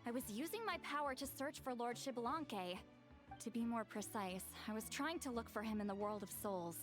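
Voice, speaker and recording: A woman's voice: fairly high pitch, brisk, over music, natural voice. A woman playing a proud, teasing, dignified elder in a video game, speaking with wry confidence. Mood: composed, serious. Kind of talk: video game character acting.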